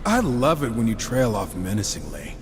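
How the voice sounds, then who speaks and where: A man's voice: on the low side, huge pitch swings, moderate pace, big-room sound, a little hiss or hum. An actor playing a wisecracking, cocky gunslinger horseman in a video game, sarcastic and flippant. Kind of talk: dramatic video game voice acting.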